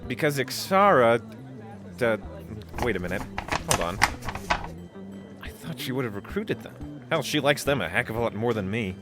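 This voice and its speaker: An actor playing a nervous, self-doubting young space-biker captain in an audio drama, hesitant and dryly sarcastic. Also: male voice, medium pitch, big pitch swings, normal speed, long pauses, music in the background, lightly acted. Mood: anxious.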